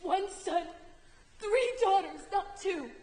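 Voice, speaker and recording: A woman's voice: very high voice, lively intonation, slow pace, a little mellow, heavily acted. A woman playing a grieving, furious queen and mother in a stage tragedy, declaiming and pleading emphatically. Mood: bitter. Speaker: stage actor. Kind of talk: theatrical one-act Greek tragedy. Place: theatre stage indoors. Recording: echoey theatre hall, long reverb.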